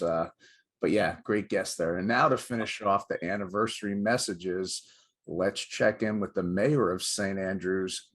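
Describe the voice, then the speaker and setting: Man, low voice, middle-aged or older, sports show co-host, presenting with light banter. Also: fairly bright tone.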